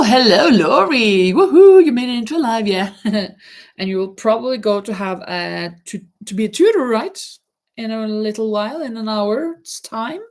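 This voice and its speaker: A woman's voice: mid-range voice, darkish tone. A middle-aged woman hosting a live crafting stream, chatting casually and reading viewer comments aloud, her voice nasal from a stuffy nose. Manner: chatty, reads viewer comments aloud, hums while working. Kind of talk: casual chat while crocheting.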